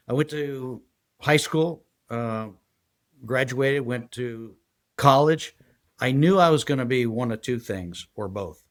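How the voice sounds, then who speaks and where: A man in his sixties from San Diego, a guest telling his life story in a halting, rambling way full of fillers. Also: mid-range voice. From San Diego, USA. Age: 60s. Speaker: guest, retired federal agent. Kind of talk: casual interview storytelling.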